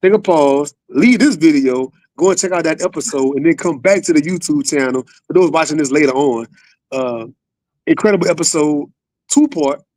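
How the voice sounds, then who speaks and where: Bright-voiced casual male podcast host, higher pitch. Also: moderate pace. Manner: slangy, familiar address. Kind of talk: casual interview with upbeat hype intro.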